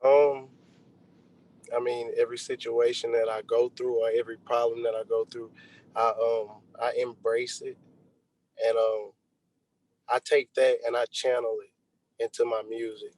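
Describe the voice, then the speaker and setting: A man's voice: medium pitch, little pitch movement, a little slow, long pauses, a little mellow, low-quality recording. Male interview guest, laid-back and reflective, casual conversational delivery. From Texas, United States. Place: remote video call.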